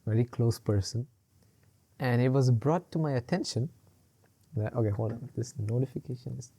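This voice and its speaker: Rambling male podcast host, mellow tone. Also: mid-range voice, normal speed, breathy edge. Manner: rambling with fillers and frequent laughs. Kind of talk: casual chat.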